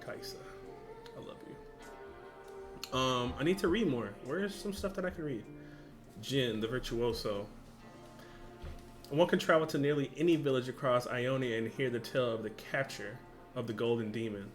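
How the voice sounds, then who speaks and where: A young man talks through a reaction video in loose, slangy chat, breaking into loud excited exclamations. His voice sits at an ordinary middle pitch. Music plays clearly underneath him. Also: moderate pace, pauses often. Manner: excitable, slangy, exclaims loudly at what he sees. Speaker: reaction channel host. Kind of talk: casual reaction commentary.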